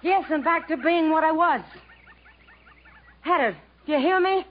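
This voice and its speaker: A woman's voice: extremely high pitch, unhurried. An actress playing a desperate, embittered woman stranded in the jungle, melodramatic in the manner of 1950s radio drama. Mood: bitter reproach. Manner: melodramatic, pleading, accusing. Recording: dull, noisy 1950s radio recording.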